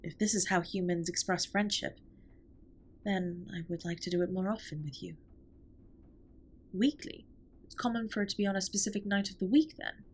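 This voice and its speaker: A woman's voice: mid-range voice, normal speed, pauses often, slight background noise, close to an everyday voice. A woman playing a formal, reserved but tender alien spaceship captain in a scripted comfort audio roleplay, speaking with precise, stilted politeness. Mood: earnest, shy.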